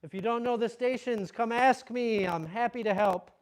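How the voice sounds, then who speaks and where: British man, kung fu instructor, high voice, dry close sound, energetic group calls. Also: normal speed, no room sound. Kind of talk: leading a group class. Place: training ground at a mountain retreat.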